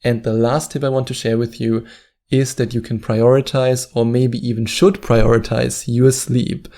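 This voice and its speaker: A man's voice: mid-range voice, flat delivery, normal speed, dark tone. A man with a German accent, hosting a weight-loss advice video and repeating his key points with earnest emphasis. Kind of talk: direct-to-camera advice talk.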